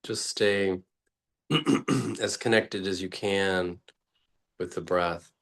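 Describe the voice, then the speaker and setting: Very slow, low-pitched man guiding meditation. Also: vocal fry. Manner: slow, halting, long pauses between short phrases. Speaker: meditation teacher. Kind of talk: guided meditation, unscripted.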